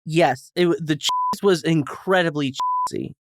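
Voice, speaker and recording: A man's voice: fairly high pitch, moderate pace, dry recording. A man as a podcast guest, chatting casually about food with dry, joking humor. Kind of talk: casual food review chat.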